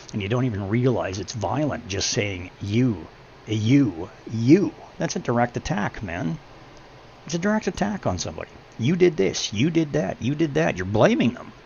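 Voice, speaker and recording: A middle-aged man with a deep voice chatting on his stream, rambling and preachy, hammering his points home. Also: gravelly, a little mellow, a little hiss or hum. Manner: preachy, rambling, emphatic when making a point. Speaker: livestreamer. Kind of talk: casual chat while gaming on stream. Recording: dull lo-fi stream audio.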